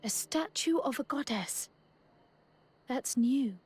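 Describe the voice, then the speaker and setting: A curious young scholar. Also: female voice, high-pitched, expressive, slow, husky, exaggerated voice.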